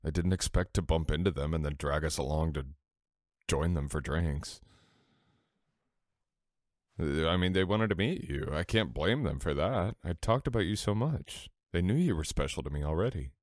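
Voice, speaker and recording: Casting: male actor as teasing, affectionate boyfriend for ASMR roleplay; extremely low voice, close dry sound, soft unhurried murmur. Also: no echo. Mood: warm.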